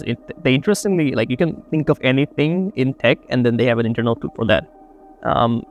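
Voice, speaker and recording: A young man from Bangladesh, a college student, answering interview questions in a relaxed, rambling way with lots of fillers. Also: medium pitch, gravelly, a little hiss or hum. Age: young adult.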